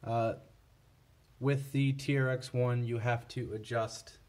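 A man with a mid-pitched voice walks through a product comparison at a slow pace. His delivery is casual and unscripted, a little halting, and matter-of-fact, like a seller showing gear on a tabletop. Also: steady tone, a little mellow. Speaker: product reviewer for a scanner seller.

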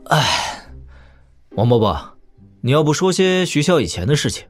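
An actor playing a young prince in an audio drama, talking in a casual, wry, teasing manner. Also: male voice, on the high side, big pitch swings, moderate pace. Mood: teasing, warm.

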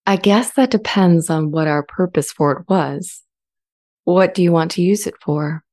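A woman playing an earnest, gentle artificial intelligence in a dramatized transcript, speaking in a measured, deliberate way. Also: fairly low pitch, close to an everyday voice. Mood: calm, inquiring. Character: earnest, gentle female-voiced sentient AI language model. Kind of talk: scripted dramatized transcript reading. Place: indoor home studio. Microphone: large studio condenser with pop filter, close.

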